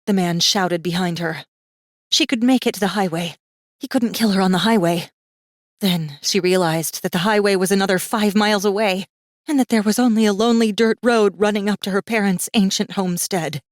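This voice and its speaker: A woman's voice: mid-range voice, a little fast, no echo, studio quality. A woman narrating a fantasy audiobook in a dramatic, scripted style with tense, suspenseful storytelling.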